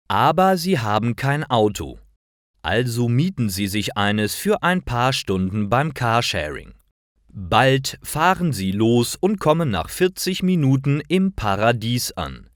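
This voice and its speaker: A man narrating simple scripted stories for language learners, reading aloud in a deliberate, carefully articulated way. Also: mid-range voice, dry recording, studio quality.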